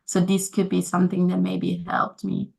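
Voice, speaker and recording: A woman's voice: fairly low pitch, monotone, moderate pace, creaky, darkish tone, husky, low-quality recording. A young woman, not a native English speaker, answering interview questions casually, with many fillers and restarts. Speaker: guest.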